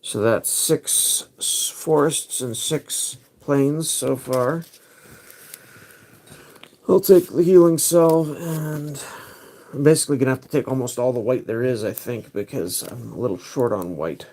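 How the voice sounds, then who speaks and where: A man's voice: mid-range voice, unhurried, a little mellow. A man hosting a casual livestream, thinking aloud as he picks cards. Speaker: livestream host.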